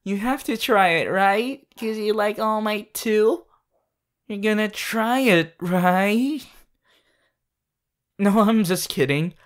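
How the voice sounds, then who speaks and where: A woman playing an eager, affectionate teenage boy hero in a fan ASMR roleplay, gushing and halting as she playfully addresses the listener. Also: medium pitch, lightly acted. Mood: eager, coaxing. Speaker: voice actor in a fan character audio.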